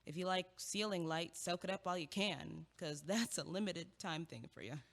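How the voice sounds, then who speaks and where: An actress in an audio play, playing a wry young woman who teases her friend with quick wit, recorded with a long hall reverb. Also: lowish voice, gravelly. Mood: teasing. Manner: teasing, quick-witted delivery.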